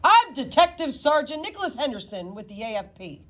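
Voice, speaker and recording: A woman's voice: high-pitched, very animated, brisk, fairly bright tone, pitched above the performer's own voice. A woman voicing a male spy who poses as a composed, polite, businesslike police detective in a storybook.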